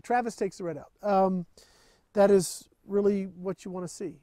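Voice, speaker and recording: High-voiced man hosting a live range chat. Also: normal speed, dry recording, studio quality. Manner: relaxed, chatty, reads viewer questions. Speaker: livestream host. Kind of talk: casual live product chat. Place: open-sided tent at an outdoor range.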